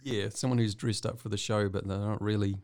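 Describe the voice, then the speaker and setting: A man's voice: on the low side, steady tone, brisk, darkish tone, clear, resonant tone, dry recording. A man with a New Zealand accent chatting casually as an interview guest. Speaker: guest, visiting artist.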